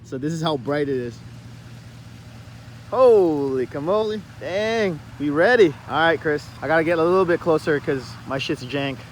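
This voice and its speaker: A man's voice: fairly high pitch, normal speed, slight background noise. A man hosting a casual vlog in jokey, slangy bro talk. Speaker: vlog host filming a truck build.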